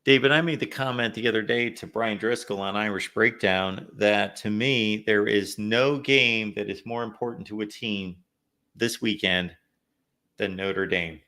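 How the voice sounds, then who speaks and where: A man hosting a live call-in sports show, a little raspy from no sleep, chatting through viewer comments and game analysis. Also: fairly low pitch, measured pace, pushed and bright. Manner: reads viewer comments aloud, conversational analysis. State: slightly raspy from lack of sleep. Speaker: host.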